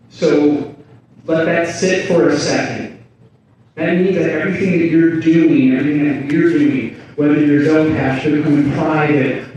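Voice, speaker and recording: Man, animated conference speaker, slightly high voice, distant echoey hall sound. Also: muffled recording, slight background noise. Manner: animated, bouncing between asides and big ideas. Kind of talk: energetic conference talk.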